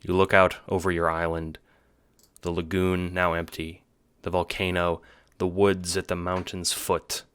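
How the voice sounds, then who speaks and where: A man's voice: low voice, flat delivery, a little slow, fairly bright tone, clear, resonant tone, dry recording. A man giving scripted narration for an audio book styled as a drama. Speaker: narrator, writer and producer.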